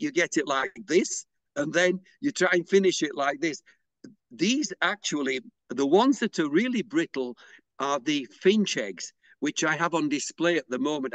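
Man, slightly higher voice, dry sound, hesitant, video call interview. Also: no echo. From England. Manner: hesitant, with fillers and restarts. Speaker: pysanky artist and interviewee. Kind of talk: unhurried explanatory interview talk.

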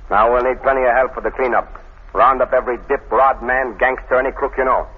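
An actor playing a ruthless, commanding gang boss with a curt, menacing manner in an old radio crime drama. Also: male voice, mid-range voice, fairly even intonation, quick pace, slightly rough, natural voice. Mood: menacing, gloating. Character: ruthless male gang boss plotting citywide terror. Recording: muffled old radio recording, narrow band.

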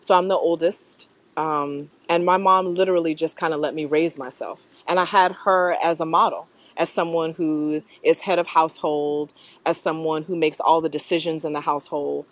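A middle-aged American woman answering interview questions reflectively, in a thoughtful, halting manner that often checks in with the listener. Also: sits low, fairly even intonation. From North Carolina, US. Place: outdoors in a leafy park.

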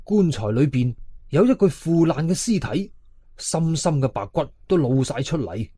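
A man's voice: on the high side, slightly rough, no echo. A man with a Hong Kong Cantonese accent narrating a horror story in a dramatic, suspenseful way. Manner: animated, suspenseful telling.

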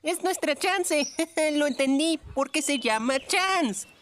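A woman's voice: high-pitched, expressive, takes it slowly, fairly bright tone, slight background noise, full character voice. A woman voicing a small, naive young cartoon canine sidekick, chatty, eager and comically earnest. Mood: excitable. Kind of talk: animated fan dub acting.

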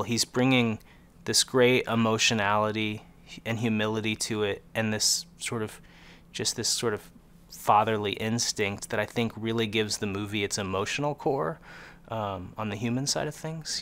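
A man's voice: on the low side, steady tone, normal speed, fairly bright tone. A man in his thirties giving an earnest press interview, explaining steadily with frequent fillers. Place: film set interview, indoors.

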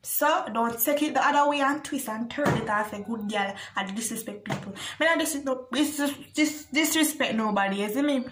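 A woman's voice: a little high, normal speed, few pauses, fairly bright tone, a little hiss or hum. A young adult woman with a Jamaican accent chatting casually to camera as a video blogger. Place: small bare indoor room. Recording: echoey small room.

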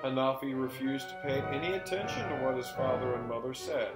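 Guy, middle aged, telling a folktale real deliberate and theatrical, roomy echo, music behind. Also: mid-range voice. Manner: deliberate, emphatic storytelling delivery. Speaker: storyteller and presenter. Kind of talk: theatrical folktale narration for learners.

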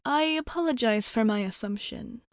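A woman playing a courteous, guarded vampire bartender in an ASMR roleplay, speaking in soft, measured, formal phrases. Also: a little high, no room sound, studio quality, natural voice. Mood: polite, candid. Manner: polite, measured, formal, often dropping into near-whisper.

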